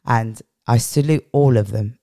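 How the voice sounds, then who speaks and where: A woman's voice: very deep voice, unhurried, warm tone, no room sound. Woman, British accent, strong voice, emphatic repetition, reflective personal storytelling, dry close sound. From England. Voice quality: strong, powerful voice. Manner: emphatic, repeating phrases for weight. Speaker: podcast guest.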